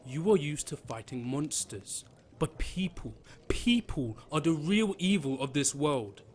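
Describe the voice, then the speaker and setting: A man's voice: on the high side, big pitch swings, unhurried, a little hiss or hum. An actor playing an angry man who rails against the rich, impassioned and lecturing.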